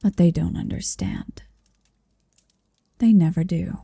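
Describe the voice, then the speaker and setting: A woman playing a possessive, centuries-old dragon in human form, tender yet commanding, in a soft, hushed ASMR roleplay that often slips into whispers. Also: fairly low pitch, sing-song, no echo, clean studio sound, heavily acted. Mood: intimate, intense. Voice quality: soft, breathy. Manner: slow, deliberate, hushed, often slipping into whisper. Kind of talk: ASMR roleplay, intimate second-person monologue.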